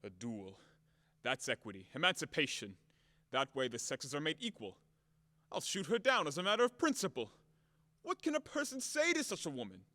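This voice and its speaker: An actor playing a brash, hot-tempered, blunt creditor in an audio play of a classic farce, with a blustering, theatrical, emphatic manner. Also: male voice, on the high side, very animated, moderate pace, sharp tone, dry recording, big-room sound. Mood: outraged, blustering.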